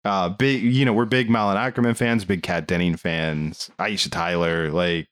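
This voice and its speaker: A man talking casually as a podcast host, rambling along in an animated, amused way. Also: low voice, moderate pace. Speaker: film discussion podcast host. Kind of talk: casual movie review chat.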